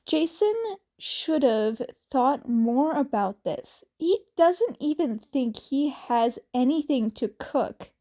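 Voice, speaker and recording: A woman's voice: high-pitched, expressive, takes it slowly, warm tone. A woman reading a story aloud as scripted narration with character dialogue, as an amateur podfic reader.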